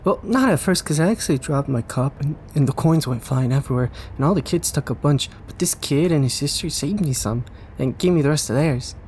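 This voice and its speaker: A man's voice: fairly high pitch, expressive, brisk, hardly any pauses, darkish tone, slight background noise. A man voicing a small, kind-hearted, shy child in an audio drama, speaking hesitantly and stumbling over words. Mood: excited. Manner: hesitant, stammering and repeating words. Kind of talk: audio drama character dialogue.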